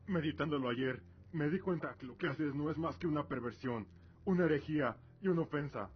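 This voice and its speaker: A man playing a stern, fanatically devout warrior father in a radio drama, speaking gravely with accusing, commanding force. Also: on the high side, fairly quick, natural voice. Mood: stern conviction. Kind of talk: Spanish-language audio drama acting. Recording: muffled audio with music.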